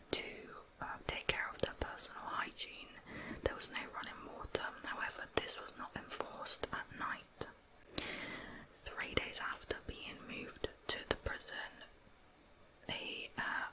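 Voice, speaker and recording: A woman whispering a true crime narrative in ASMR style, softly and in an unhurried, explanatory way. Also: low-quality recording.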